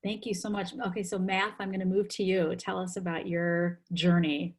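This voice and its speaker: A woman's voice: fairly low pitch, little pitch movement, normal speed, slightly rough. A woman hosting a video-call interview, encouraging her guests with short, upbeat affirmations. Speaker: host.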